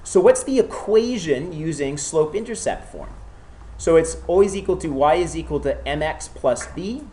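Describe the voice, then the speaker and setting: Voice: mid-range voice, animated, moderate pace, warm tone. A math teacher who has a cold gives a classroom lecture, often checking in with students and encouraging them.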